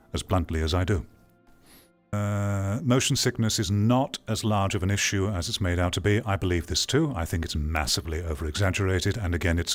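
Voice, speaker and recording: A man with a low-pitched voice delivers an opinionated monologue to his online audience. He is blunt and emphatic, setting out his phrases deliberately and repeating them for stress. Faint music plays behind him. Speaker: host, online commentator and streamer.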